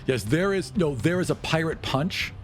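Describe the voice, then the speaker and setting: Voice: mid-range voice, expressive, normal speed, soft background music. Someone narrating an improvised tabletop roleplaying game in a casual, playful, chuckling way. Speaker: game master and player.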